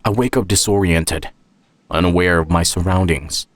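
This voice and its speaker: A man's voice: low voice, steady tone, moderate pace, no echo. A man reading a scripted first-person dramatic story as its author and narrator. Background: music.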